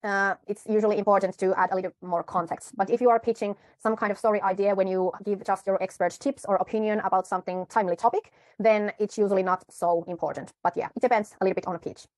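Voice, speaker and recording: A woman coaching viewers through a media pitch in a tutorial, unhurried, pausing and correcting herself often. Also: steady tone, darkish tone, dry recording. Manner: unhurried, with frequent pauses and self-corrections. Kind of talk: tutorial talk to camera.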